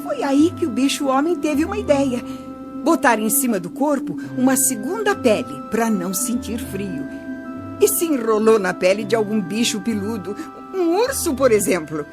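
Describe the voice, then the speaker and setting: Animated Brazilian storytelling grandmother. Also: a little high. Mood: patient, instructive. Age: older. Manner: didactic, measured, expressive. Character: kindly storytelling grandmother teaching her grandchildren. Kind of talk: children's radio drama storytelling. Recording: music bed under voice.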